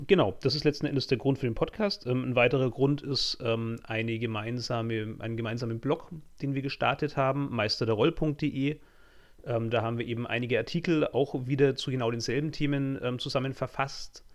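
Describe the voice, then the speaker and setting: A man's voice: medium pitch, fairly even intonation, brisk. A man from Franconia with a Franconian German accent, co-hosting a podcast and introducing it in a wordy, self-deprecating way with frequent ums. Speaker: podcast co-host.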